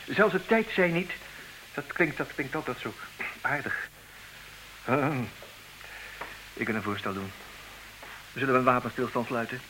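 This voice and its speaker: An actor playing a suave, condescending, idle wealthy bachelor in a comedic radio play, with theatrical mock politeness. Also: male voice, mid-range voice, a little slow, long pauses, lightly acted. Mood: awkward, coaxing. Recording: hissy old radio drama recording.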